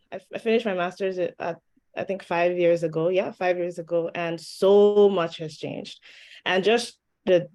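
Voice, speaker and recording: Panelist from Nigeria, woman, lowish voice, thoughtful and relaxed. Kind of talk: casual discussion.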